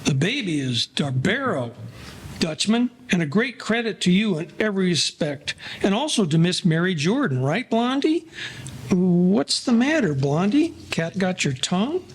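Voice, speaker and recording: A man's voice: high voice, sing-song, measured pace, a little hiss or hum. A man playing a chipper, ribbing 1940s gangster sidekick in an old-time radio crime drama. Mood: admiring, teasing.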